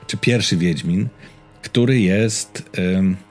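A man's voice: low-pitched, takes it slowly. A man chatting casually as a podcast co-host about games and series, in an informal, slangy, rambling storytelling way.

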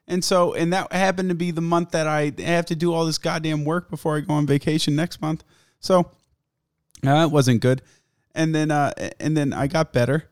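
A young man with a sick, fraying voice rambles through his podcast, cursing freely and laughing at himself. He sits close to the mic in a dead, dry room with no echo, and he talks at an everyday pitch. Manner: profane, rambling, self-mocking. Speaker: podcast host. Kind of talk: casual solo podcast chat. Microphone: studio mic, close.

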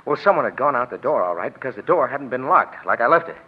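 A worried professional gambler in a 1940s radio crime drama. His husky voice moves quickly, urgent and hesitant as he pleads his case. The recording is old and muffled. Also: medium pitch, sing-song. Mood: uneasy.

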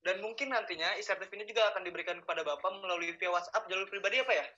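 A young man hosts a casual student podcast, talking fast and upbeat. His voice runs high and bright, coming through a video call. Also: muffled recording. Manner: upbeat, polite, filler-heavy. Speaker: podcast host.

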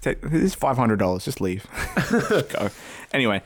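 A man hosting a podcast in loose, joking banter, often breaking into laughter and restarting his sentences. His voice sits at an ordinary middle pitch for a man. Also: very animated, normal speed. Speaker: podcast co-host. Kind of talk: casual banter.

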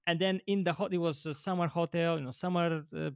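A man hosting a YouTube interview, talking in a halting, eager way with many restarts. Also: fairly high pitch, steady tone, gravelly, clear, resonant tone, no echo, studio quality. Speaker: YouTube channel host. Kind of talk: casual interview.

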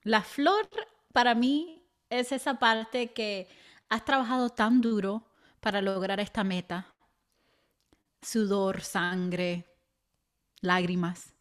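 A young woman co-hosting a podcast, speaking in an earnest, reflective way. Her voice sits at a middle pitch, and she breaks into light laughs now and then. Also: steady tone, takes it slowly, long pauses. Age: young adult. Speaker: podcast co-host. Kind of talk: casual chat.

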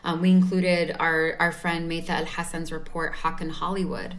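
A woman's voice: deep voice, level tone, normal speed, a bit bright. A woman speaking as an interview guest, explaining her organization's work in an articulate, enthusiastic way. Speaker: guest representing an advocacy organization.

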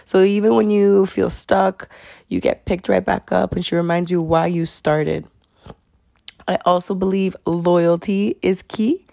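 A young woman talks through her own podcast in a sincere, conversational way, often pausing on "um." Her voice sits low and mellow, with heavy fry and a little rasp today. It sounds like a heartfelt solo episode. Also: fairly even intonation. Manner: sincere, chatty, with frequent fillers. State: slightly raspy voice. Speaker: podcast host.

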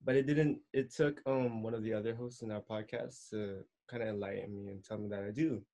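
A man co-hosting a podcast, recalling his experience in a soft-spoken, halting way. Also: lowish voice, steady tone. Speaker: podcast co-host. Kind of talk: casual podcast conversation.

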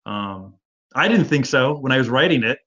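A man's voice: medium pitch, expressive, moderate pace, sharp tone, dull audio. A man with a Midwestern Michigan accent and a slightly nasal voice, chatting casually as an interview guest and rambling through stories with many fillers. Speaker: band singer and guitarist, guest.

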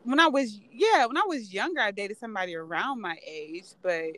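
A woman's voice: medium pitch, expressive, a little fast, a bit bright. Woman, 30s, podcast co-host; loose, rambling, casual delivery. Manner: loose, rambling, restarts lines. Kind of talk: casual chat.

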